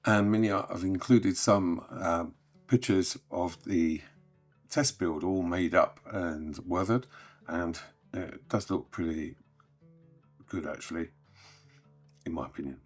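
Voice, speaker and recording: A man hosting a hobby news update, chatting casually and sounding croaky as he gets over an illness. He often trails off softly at the ends of sentences. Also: sits low, slow, long pauses, soft background music. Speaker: host.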